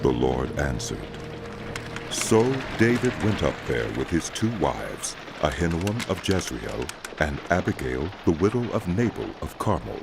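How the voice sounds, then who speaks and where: A man narrating dramatized scripture in a solemn, measured way, phrase by phrase, over music. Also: a bass voice, sing-song, creaky. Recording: loud music bed under voice.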